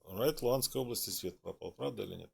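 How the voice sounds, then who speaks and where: A man's voice: on the low side, very fast, creaky, husky. A man from Donetsk hosting a chat-roulette talk, needling and mocking the person he talks to. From Donetsk, Ukraine. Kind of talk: argumentative casual chat.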